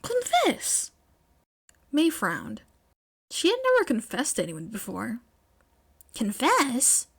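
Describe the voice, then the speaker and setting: Higher-pitched woman reading a story. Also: wildly swooping pitch, normal speed, vocal fry, slightly rough. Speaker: fan fiction podfic reader. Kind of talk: expressive scripted story narration.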